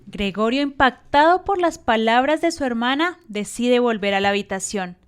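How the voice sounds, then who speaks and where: Clear, high-voiced woman narrating a story. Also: animated, moderate pace. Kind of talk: scripted storytelling narration for radio drama.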